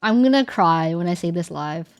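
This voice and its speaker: A woman's voice: lowish voice, expressive, normal speed, smooth. A woman chatting casually on a live stream and reading out chat messages, her voice worn out and fading. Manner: chatty, reads chat aloud. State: losing her voice.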